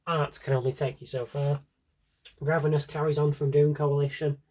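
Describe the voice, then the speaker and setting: A man with a slightly husky voice chats casually as a fan showing off a collection, rambling with wry, dry asides. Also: on the high side, little pitch movement, normal speed, a little mellow. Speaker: audio drama collector and fan. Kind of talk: casual rambling shelf-tour vlog chat.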